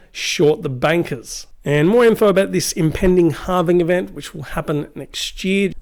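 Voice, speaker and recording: A man with a slightly croaky voice hosting a crypto news show, reading quotes aloud with restarts and asides. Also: fairly high pitch, darkish tone.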